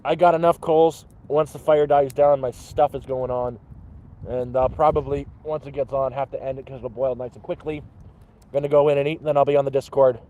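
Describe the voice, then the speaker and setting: A man's voice: mid-range voice, fairly even intonation, a little fast, warm tone, low-quality recording. A man in his thirties chatting casually to his livestream chat outdoors by a campfire, rambling and swearing freely. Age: 30s. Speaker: livestream host.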